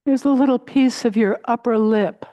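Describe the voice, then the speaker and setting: A woman teaching a guided meditation in a gentle, unhurried way, pausing between short phrases. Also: on the high side, no room sound, highs cut off. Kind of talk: guided meditation, body scan.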